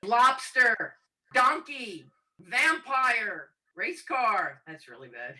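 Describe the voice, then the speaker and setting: A woman's voice: a little high, sing-song, drawn-out words, pushed and bright, recorded from a distance, low-quality recording. A woman playfully and theatrically reading a mock news list on a video call. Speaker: presenter of comic news segment.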